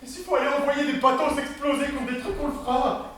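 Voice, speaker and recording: A man playing a hotheaded activist, high-pitched voice, echoey stage heard from afar. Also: fast, recorded from a distance. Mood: enraged. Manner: bursts into shouting rants. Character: frustrated young male activist, hotheaded. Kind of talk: live improv theatre. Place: theatre stage.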